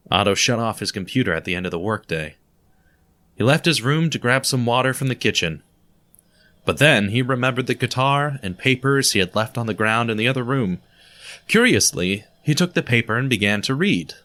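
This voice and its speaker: A man narrates a radio drama and reads a scripted story. His pitch moves a great deal as he tells it. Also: pushed and bright.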